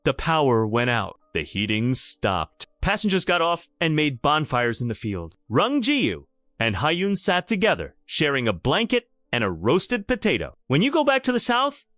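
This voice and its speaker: A man's voice: medium pitch, huge pitch swings, measured pace, a bit bright, no room sound, clean studio sound, faint music behind. A man narrating a scripted romantic drama in a theatrical, emphatic storytelling style.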